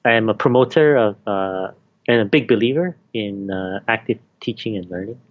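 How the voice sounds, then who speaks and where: A man who was born in Malaysia and raised in Canada, a university teaching fellow answering interview questions in a hesitant, halting way. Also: on the low side, phone-quality sound. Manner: hesitant, many fillers and restarts.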